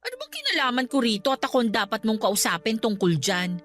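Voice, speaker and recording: A woman's voice: fairly high pitch, wildly swooping pitch, fast, fairly bright tone, soft background music. An actress playing a haughty, threatening female office superior in a Filipino radio drama, dismissive and condescending.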